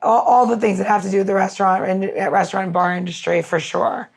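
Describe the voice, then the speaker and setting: A woman with a gravelly creak in her medium-pitched voice co-hosts a podcast. She talks casually and effusively, rambling informally as she goes. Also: level tone, fast talker. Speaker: podcast co-host. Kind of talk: casual chat, interview.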